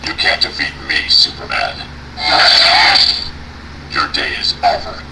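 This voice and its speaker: An actor playing a menacing armored superhero vigilante with a gravelly, electronically deepened voice, in toy sound samples. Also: male voice, slow. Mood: menacing, defiant. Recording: very noisy toy speaker playback.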